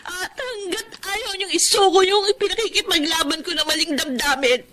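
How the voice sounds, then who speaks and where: A young woman with a very high, bright voice, a wounded yet firm daughter in a radio melodrama. She speaks emphatically, pleading and standing her ground with open emotion. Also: normal speed. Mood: anguished, pleading. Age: young adult. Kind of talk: melodramatic radio drama acting.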